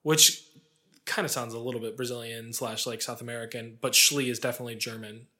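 Man, podcast host, bright forceful voice, casual storytelling, conversational, wry asides. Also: medium pitch, steady tone, a little fast. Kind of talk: casual storytelling about sports history, part scripted.

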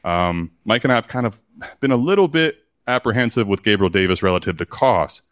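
A deep, low-voiced male guest with a classic radio sound. He talks quickly and confidently in relaxed chat. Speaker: podcast guest. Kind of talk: casual podcast chat.

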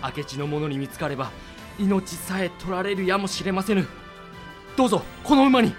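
An actor playing a loyal, urgent merchant retainer in a Japanese period audio drama, speaking deferential archaic language with emphasis. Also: male voice, sits high, moderate pace, faint music behind, close to an everyday voice. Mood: pressing.

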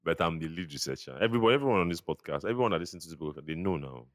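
A young man with a Nigerian accent and a very low, deep voice, trading casual jokes on a podcast. His sound is close and dry, and he slips Pidgin phrases into easy banter. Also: animated, rapid-fire, dry recording. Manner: joking, self-mocking banter with Pidgin phrases. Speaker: podcast co-host. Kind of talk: casual chat.

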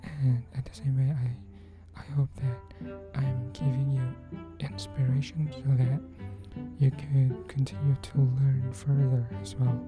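A woman hosting a podcast, thanking her listeners in a soft, often near-whispered, unhurried voice. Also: very deep voice, level tone. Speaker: podcast host. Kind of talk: thank-you message to listeners. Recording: cello music playing under the voice.

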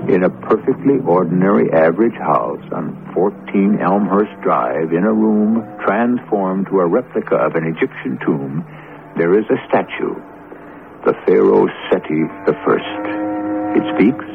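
A man hosting a radio drama with scripted suspense narration, gravelly, measured, theatrical and ominous. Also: low voice. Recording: tinny, noisy old radio broadcast.

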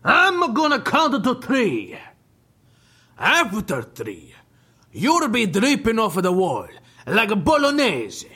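Italian mob boss, furious, threatening, raspy, very high voice, wildly swooping delivery, audio comedy. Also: male voice, huge pitch swings, pushed and bright, heavily acted. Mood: menacing. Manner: slow, threatening, theatrical. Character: furious, menacing Italian male mobster with a machine gun. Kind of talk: comic audio drama acting.

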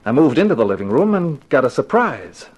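An actor playing a cool, flirtatious, wisecracking private eye in a 1950s radio detective drama, with wry, slangy, clipped delivery. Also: male voice, mid-range voice, sing-song, fairly quick, darkish tone, husky. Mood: wry, terse. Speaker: actor and first-person narrator. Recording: 1950s radio recording with music behind.

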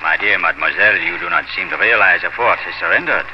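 Man playing a deep-voiced, courteous officer. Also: noisy background, natural voice. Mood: calm, commanding. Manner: formal, measured, polite. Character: courteous, self-possessed French officer from Montreal. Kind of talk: 1950s radio drama acting. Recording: dull vintage radio recording with music.

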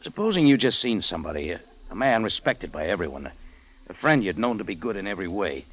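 An actor playing a terse, world-weary hard-boiled adventurer, hero and narrator of a 1940s radio noir, on an old recording. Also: male voice, deep voice, big pitch swings, fast, a bit bright, heavily acted. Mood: tense, terse. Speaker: protagonist and narrator. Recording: dull vintage radio recording, music behind.

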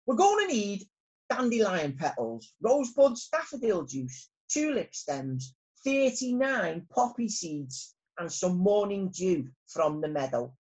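Woman playing an anxious, animated bee inventor. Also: mid-range voice, takes it slowly, poor audio. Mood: thoughtful, listing. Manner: fretful, dramatic, colloquial speech. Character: anxious, reluctant male bee inventor with hay fever.

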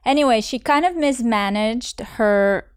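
A woman's voice: on the high side, measured pace, clear, resonant tone, no room sound, clean studio sound. A woman in her thirties hosting a casual podcast chat, telling stories animatedly and laughing often. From Soviet upbringing. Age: 30s. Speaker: host.

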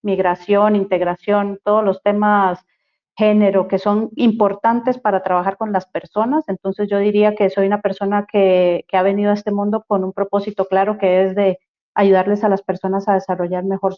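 A woman from Costa Rica with a Costa Rican accent, speaking as an interview guest on human rights, thoughtful and halting, with many fillers and restarts. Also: mid-range voice, level tone. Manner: reflective, many fillers and restarts, searching for words. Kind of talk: casual interview talk. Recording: dull lo-fi audio.

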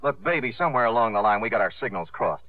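A man's voice: mid-range voice, animated, rapid-fire, lightly acted. An actor playing a cocky, sarcastic carnival showman with slangy patter in a 1950s radio drama. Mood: sarcastic, angry. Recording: muffled, roomy old radio broadcast.

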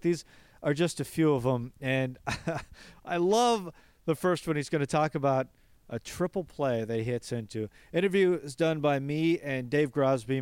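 A man hosts a baseball show, his voice smooth and resonant in a dry, close-miked sound. He talks with casual enthusiasm, running through numbers and stories at a lively clip. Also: medium pitch, no echo. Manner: enthusiastic, reels off stats. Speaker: baseball podcast host. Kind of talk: casual sports talk monologue.

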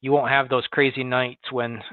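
A young man from Western New York with its accent, a cattle farmer telling his story as a guest, relaxed, with many fillers and restarts. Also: medium pitch, normal speed, dry recording. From Western New York, near Buffalo. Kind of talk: conversational interview. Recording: tinny phone-like line, dry.

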